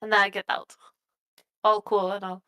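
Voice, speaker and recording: A woman's voice: medium pitch, flat delivery, normal speed, fairly bright tone, smooth. A woman playing in a live tabletop role-playing game, mixing casual improvised banter with describing her character's actions.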